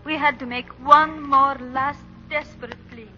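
Grieving mother, bright voice, long reverb. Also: sits high, fairly even intonation. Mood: anguished. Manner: solemn, heartfelt, measured. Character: grieving Greek mother writing to her brother in America. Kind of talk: 1940s radio drama, letter narration. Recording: dull 1940s radio, long reverb, music.

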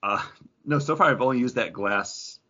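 A man's voice: medium pitch, moderate pace, bright, forceful tone, lo-fi sound. A man chatting casually and jokingly as a podcast host. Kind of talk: casual banter on a comics podcast.

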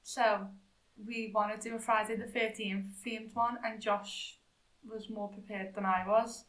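A woman's voice: mid-range voice, little pitch movement, a little slow, fairly bright tone, roomy sound, rough recording. A woman chatting casually as co-host of a podcast. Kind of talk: casual chat.

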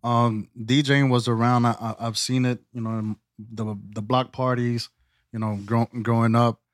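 A man's voice: mid-range voice, little pitch movement, normal speed, no echo, clean studio sound. A man from the Bronx, a DJ guest on a podcast, talking in an easygoing way with ums and small laughs. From the Bronx, New York. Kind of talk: casual podcast interview.

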